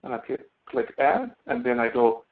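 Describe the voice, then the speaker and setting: A man's voice: mid-range voice, very animated. Phone-like male instructor, step by step. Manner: halting, step-by-step explaining. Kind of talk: live online software lecture with screen demonstration. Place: online screen-share session. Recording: thin phone-like audio.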